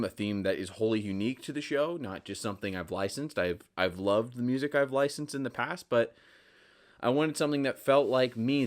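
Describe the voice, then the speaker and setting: A young American man with a medium-pitched voice speaks as a podcast host. He talks casually on his own, in a conversational way, leaning on repeated phrases for emphasis. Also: fairly bright tone. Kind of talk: casual solo podcast monologue.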